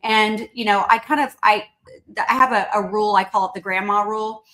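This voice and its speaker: A woman's voice: mid-range voice, steady tone, a little fast, bright, forceful tone, low-quality recording. Woman, mid-range voice, interview guest, earnest, explanatory, conversational, executive speaking plainly. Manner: earnest, explanatory, often checks with "right?". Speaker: company CEO, podcast guest.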